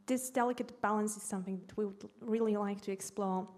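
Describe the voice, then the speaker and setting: A woman whose first language is Polish, an educator commenting in a panel discussion in a measured, explanatory way with small restarts. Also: medium pitch, fairly even intonation, a little mellow.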